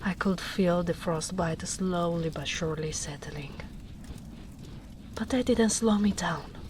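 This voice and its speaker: A woman voicing a gentle, protective big female ogre in a tender, soft-spoken ASMR roleplay, often slipping into whispers. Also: fairly low pitch, measured pace, slight background noise, close to an everyday voice. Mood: somber.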